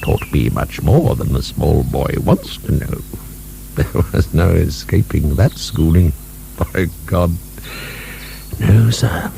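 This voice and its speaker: An actor playing an embittered old marquis in a radio drama, sardonic and theatrical, his gravelly, breathy voice often dropping to whispers. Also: extremely low pitch, expressive, slow, exaggerated voice, poor audio. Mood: sardonic, bitter. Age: older. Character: aging, embittered, feverish male libertine marquis. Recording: soft recording with background hum.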